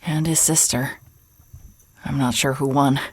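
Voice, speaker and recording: An actress playing a weary, guarded woman in an audio drama, speaking in a hushed, breathy, halting way. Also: rumbling low, steady tone, no room sound, full character voice. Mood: weary, grateful. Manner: hesitant, subdued, halting. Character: weary, guarded, apologetic woman, quietly grieving.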